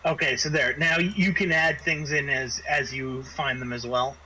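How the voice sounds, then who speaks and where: A man's voice: mid-range voice, fairly even intonation, moderate pace, pushed and bright, poor audio. A man running a casual online tabletop game as game master, explaining things in a hesitant, stop-start way. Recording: faint background noise.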